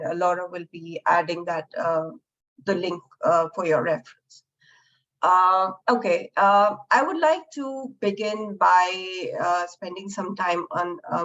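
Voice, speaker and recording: A woman moderating and opening a professional webinar, her voice lowered from a recent flu, speaking in a friendly way with frequent "uh" fillers. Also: muffled recording. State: recovering from flu, deeper voice.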